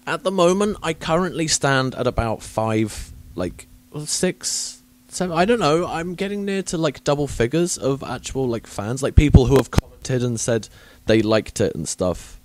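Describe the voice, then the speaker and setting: A man's voice: mid-range voice, lively intonation, moderate pace, few pauses. A man from England hosting a podcast, chatting casually with frank, awkward rambling and many fillers. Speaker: podcast host.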